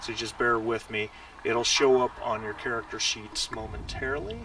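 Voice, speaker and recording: A man's voice: mid-range voice, steady tone, normal speed. A man acting as game master, talking casually and hesitantly as he explains the rules of a tabletop game over a voice call. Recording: distant mic with faint noise.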